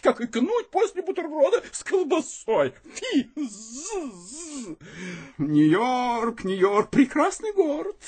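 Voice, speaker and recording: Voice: medium pitch, a little mellow, husky, full character voice. An actor in a radio play voicing a melodramatic, philosophizing housefly with a theatrical, buzzing delivery. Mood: hysterical, indignant.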